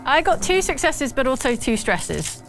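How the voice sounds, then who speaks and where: A woman's voice: high-pitched, very animated, moderate pace, creaky, fairly bright tone, low-quality recording. A woman playing a tabletop roleplaying game, bantering casually in a playful, wry way. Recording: faint music behind the voice. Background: music.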